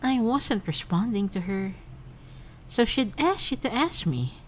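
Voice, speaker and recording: A woman voicing a composed, affectionate young woman who teases gently. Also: a little high, expressive, unhurried, slight background noise. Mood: teasing.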